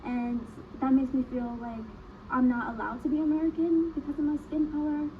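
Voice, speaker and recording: A woman from New York talks earnestly in a documentary interview. Her voice sits fairly high and comes through tinny, with clear noise behind it. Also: flat delivery, normal speed. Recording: tinny, noisy documentary clip.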